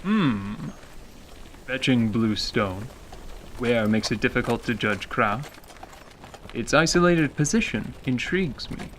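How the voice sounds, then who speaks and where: A man's voice: medium pitch, expressive, a bit bright, a little hiss or hum. Man acting a formal, courteous armored warrior. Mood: pondering. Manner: formal, measured, stately. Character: calm, courteous, learned armored male traveler. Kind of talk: fantasy audio drama acting.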